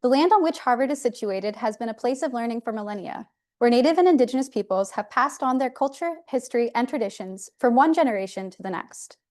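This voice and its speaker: A woman panelist reading a formal land acknowledgment and introducing herself, in a measured, formal way. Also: on the high side, lively intonation, a little mellow. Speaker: panelist, assistant director of a university program.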